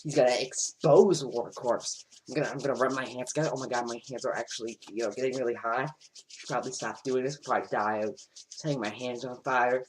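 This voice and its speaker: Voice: medium pitch, steady tone, a little fast, slight background noise, poor audio. A commentator making mocking, sarcastic asides over a video clip.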